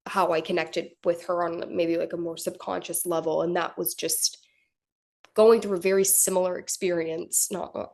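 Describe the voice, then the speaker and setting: Young actress, relaxed filler-heavy chat, lowish voice. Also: female voice, normal speed, vocal fry. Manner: casual, chatty, filler-heavy. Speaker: actor. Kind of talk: press junket interview.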